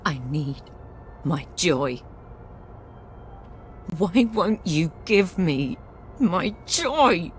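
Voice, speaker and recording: A woman's voice: on the low side, big pitch swings, drawn-out words, pauses often, faint music behind, exaggerated voice. An actress playing a desperate, imperious older woman in a video game, genteel and unhinged. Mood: desperate pleading.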